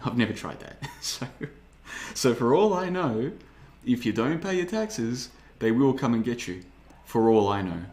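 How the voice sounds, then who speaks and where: A man, rambling through a casual podcast monologue with a sarcastic edge. His voice sits a little low, and he talks loosely, circling back over his points. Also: darkish tone. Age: 30s. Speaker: podcast host. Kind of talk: casual podcast monologue reading live chat.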